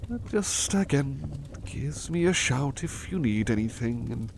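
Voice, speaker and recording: Male actor as weary, loving manservant; ASMR intimacy, unhurried, courteous, hesitant delivery, slightly noisy ambience. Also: mid-range voice, animated, vocal fry. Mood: sleepy, tender. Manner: hesitant, halting, polite old-fashioned phrasing, affectionate. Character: overworked, devoted, weary butler resting affectionately on partner's lap. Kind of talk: ASMR roleplay, intimate personal attention.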